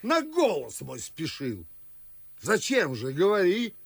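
A pompous middle-aged gentleman in a radio play of classic verse, scolding and suspicious. His voice is on the high side and swings widely in pitch. He draws his words out slowly and theatrically, in a close, dry sound. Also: wildly swooping pitch, gravelly, slightly rough, dry recording, full character voice. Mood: sardonic, grumbling. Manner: grumbling, pompous, theatrical drawn-out delivery.

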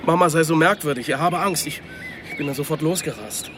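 A man's voice: fairly high pitch, brisk, close to an everyday voice. An actor playing an agitated, impatient middle-aged father and businessman in a radio drama, urgent and exasperated. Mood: alarmed. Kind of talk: German radio crime drama acting. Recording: clear background noise, ambience.

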